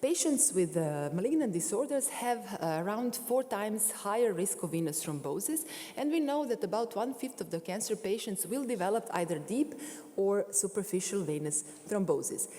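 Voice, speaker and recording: A woman's voice: medium pitch, animated, normal speed, mellow. Woman lecturing in a reverberant hall. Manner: frequent fillers and self-corrections while explaining slides. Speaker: conference speaker. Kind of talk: medical conference lecture. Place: large concert hall.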